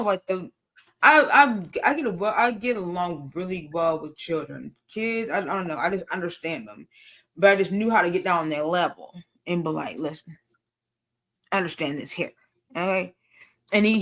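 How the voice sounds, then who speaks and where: A woman in her thirties, a panel guest on a video call, talking haltingly and lively with many ums. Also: medium pitch. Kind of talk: casual chat.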